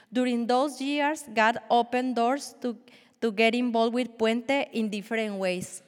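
A Colombian-accented woman in her forties, a native Spanish speaker, gives an earnest, measured testimony in church and stumbles now and then. Her voice sits fairly high. It rings with a long reverb, as if from a large hall. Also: clean tone. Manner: earnest, measured, with small restarts. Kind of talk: prepared personal testimony.

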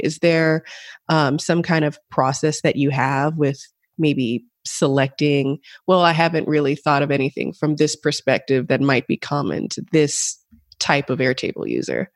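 A podcast host leads the conversation, framing questions politely and encouragingly with the occasional "um". The voice is at a middle pitch and sounds dry and close. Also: no room sound. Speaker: interviewer host. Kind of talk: casual interview questions.

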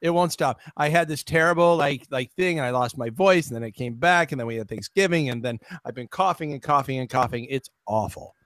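A man hosting a podcast in casual chat, his voice raspy from a lingering cough, often restarting and repeating words. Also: mid-range voice, brisk, hardly any pauses, fairly bright tone, no room sound, studio quality. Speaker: podcast host.

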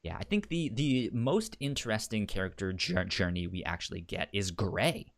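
A man co-hosting a casual book-club podcast, talking in a halting way with many restarts. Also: lowish voice. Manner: halting, repeats and restarts words. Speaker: podcast co-host.

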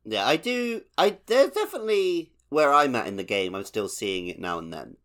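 A man's voice: medium pitch, very animated, moderate pace, a bit bright. A man co-hosting a gaming podcast, speaking in a casual, conversational style. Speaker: podcast co-host.

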